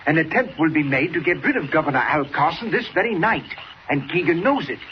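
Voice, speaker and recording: Casting: man to play an indignant, old-fashioned fussbudget for a 1940s radio serial, wildly expressive, on a dull noisy recording. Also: a little high, brisk, lightly acted. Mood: agitated. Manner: blustery, old-fashioned exclamations. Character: fussy, indignant older gentleman, excitable and self-important.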